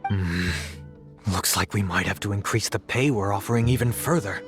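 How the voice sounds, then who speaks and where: An actor playing a kindly, gently firm innkeeper in a video game, speaking in a measured, polite, reassuring way. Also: male voice, fairly low pitch, lightly acted. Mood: wry, thoughtful. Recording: music playing behind the voice.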